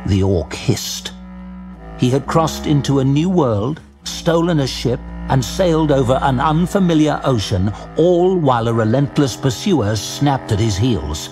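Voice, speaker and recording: A man narrating a scripted fantasy tale dramatically, with a measured, grave storytelling manner. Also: medium pitch, lively intonation, over music.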